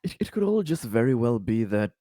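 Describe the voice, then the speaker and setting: A man playing a tabletop game, talking through the situation in deliberate, rather formal wording. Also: mid-range voice, very animated, mellow, no room sound, studio quality. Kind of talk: casual in-game discussion.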